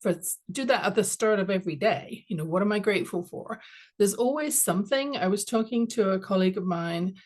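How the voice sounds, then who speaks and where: A woman from London with a London English accent, a guest coach telling her story in a measured, reflective way in a podcast interview. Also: medium pitch. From England. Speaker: guest, confidence coach and author.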